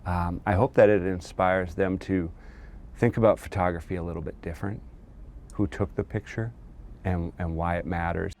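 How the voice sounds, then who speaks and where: A man speaking as an interviewed historian, explaining in an earnest, measured way. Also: sits low, fairly even intonation, creaky. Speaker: historian and author being interviewed.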